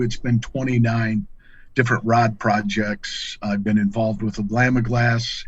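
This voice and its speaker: A man's voice: mid-range voice, dry recording, muffled recording. A middle-aged man talking casually as a podcast guest, telling stories in a folksy, plain-spoken way. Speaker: podcast guest, angler and fishing pro staffer. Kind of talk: casual conversational storytelling.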